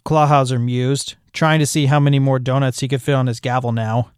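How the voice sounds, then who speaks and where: A man narrating a fan fiction story with expressive storytelling. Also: mid-range voice, a little fast, smooth, no echo, clean studio sound. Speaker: fanfic reader. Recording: music bed behind voice.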